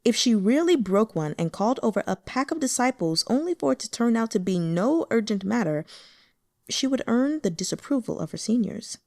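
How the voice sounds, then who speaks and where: A woman's voice: a little high, very animated, fairly quick, mellow, no room sound, studio quality. A woman narrating a fan-translated novel expressively and dropping sarcastic asides. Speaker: audiobook narrator.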